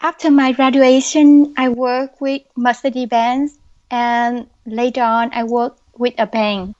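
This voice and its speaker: Young woman with a Vietnamese accent, high and slow, on dull lo-fi audio; podcast guest. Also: level tone, darkish tone. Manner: slow, careful, earnest speech. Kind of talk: podcast interview answers.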